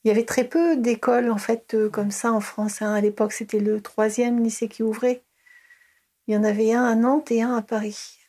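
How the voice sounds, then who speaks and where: A woman's voice: fairly high pitch, level tone, moderate pace, warm tone. A middle-aged woman recalling her school years in a casual interview, hesitant, with many fillers and restarts. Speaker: interviewee, early childhood educator.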